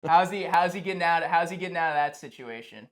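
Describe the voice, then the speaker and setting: A man's voice: on the high side, animated, fast talker, a bit bright. A man co-hosting an interview in a casual, joking chat style. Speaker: interview co-host.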